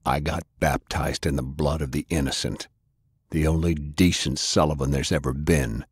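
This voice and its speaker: Man voicing a very low, brutal, boastful brawler. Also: fairly even intonation, normal speed, husky, no room sound, studio quality. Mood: bitter. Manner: taunting, sneering, slangy tough-guy talk. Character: brutal, boastful, bitter brawler with magic power, male.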